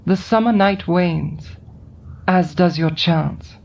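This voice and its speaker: Slow, measured scheming woman, voiced by an actress. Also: lowish voice. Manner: calm, measured and coolly persuasive. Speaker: voice actor. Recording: dull lo-fi recording with faint music behind.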